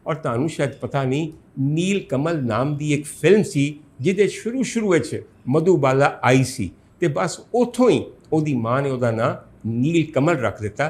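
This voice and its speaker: A man's voice: mid-range voice, a little mellow, close to an everyday voice. A man voicing an indignant, outspoken Punjabi woman who recounts her grievances with emphatic, lamenting exclamations in a storytelling talk.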